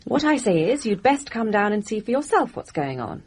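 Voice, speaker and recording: An actress playing a composed young upper-class Englishwoman in a radio drama, measured and wry. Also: medium pitch, very animated, husky. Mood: reading aloud, wry. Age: young adult.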